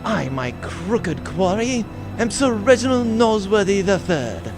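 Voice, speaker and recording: A pompous gentleman bounty hunter played by a man in a comic audio adventure. He is smug and florid, with polite menace and a very animated delivery, over loud music. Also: high voice, unhurried, heavily acted. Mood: grandly boastful. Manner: pompous, florid formal phrasing. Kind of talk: audio comedy adventure acting.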